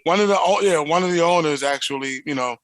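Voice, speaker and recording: A man with a Philadelphia accent, co-hosting a podcast in casual chat, an animated storyteller who laughs often. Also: a little high, quick pace. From Philadelphia area. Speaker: podcast co-host.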